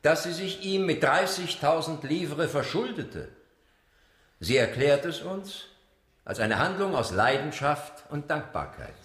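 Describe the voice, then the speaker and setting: Impassioned, animated defence lawyer, echoey. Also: male voice, a little high, exaggerated voice. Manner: rhetorical, declamatory, indignant. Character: impassioned, eloquent male defence lawyer in 17th-century Paris. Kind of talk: radio drama courtroom oratory. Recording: echoey courtroom-like room.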